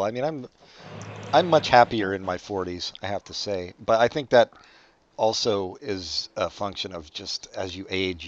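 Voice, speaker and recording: Wry, low-voiced male podcast host. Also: highs cut off. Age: 40s. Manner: dry, wry teasing. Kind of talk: casual chat.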